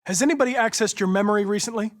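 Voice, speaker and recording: A man with an American accent playing a polite, determined young android police investigator in a video game, speaking in a precise, formal, measured way. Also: high voice, natural voice. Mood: earnest, probing. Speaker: actor voicing a video game character.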